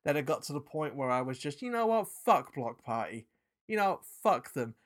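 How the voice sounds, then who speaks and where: A man with a British accent mixed with New Zealand and South African traces, co-hosting a podcast in animated, sweary casual chat. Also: on the high side, moderate pace. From England. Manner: animated, swearing, trailing restarts. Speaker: podcast co-host.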